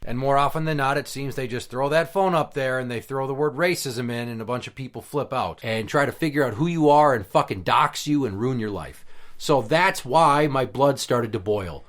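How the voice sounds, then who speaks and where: A man hosting a casual podcast, his voice sitting at an ordinary middle pitch. He talks bluntly and heatedly and swears freely as he vents. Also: normal speed. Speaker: podcast host.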